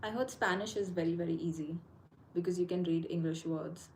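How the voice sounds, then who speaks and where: Brief: young woman, fairly low voice, casual livestream chat, hesitant with frequent fillers, faint room hum. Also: fairly quick, darkish tone, poor audio. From India. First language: Konkani. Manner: hesitant, many fillers and restarts. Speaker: livestream host. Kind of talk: casual live Q&A. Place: indoors, abroad while traveling.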